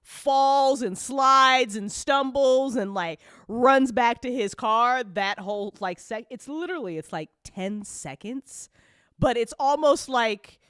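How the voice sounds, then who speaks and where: A woman's voice: a little high, slow, a bit bright, clean tone, no room sound, studio quality. A woman hosting a casual live chat as a show creator, talking in a rambling, giggly, informal way.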